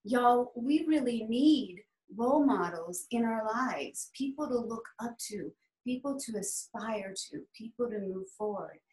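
A woman's voice: fairly high pitch, animated, unhurried, a little mellow. Candid woman from New Orleans, echoey room. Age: 40s. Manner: candid, conversational, sprinkles in "y'all". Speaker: club speaker. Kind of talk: prepared personal speech. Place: home room, indoors. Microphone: laptop webcam on a video call.

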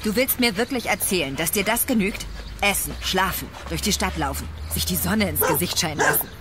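A woman's voice: on the low side, animated, a little fast, heavy background noise, close to an everyday voice. An actress in a radio crime drama playing a self-assured agency woman who talks in an insistent, knowing way. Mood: persuasive, challenging. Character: persuasive, self-assured female agency recruiter. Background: outdoor park ambience.

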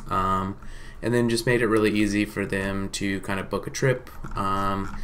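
Low-voiced man, casual and hesitant presenter. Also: creaky, fairly bright tone, slight background noise. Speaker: marketing company presenter. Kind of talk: informal case study presentation to camera.

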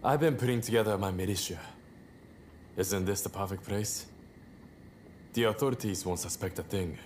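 An actor playing a cocky, easygoing samurai rebel leader with a teasing, swaggering manner in a video game cutscene. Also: male voice, lowish voice, normal speed, darkish tone, slight background noise, close to an everyday voice. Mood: amused, sly. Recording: music behind the voice.

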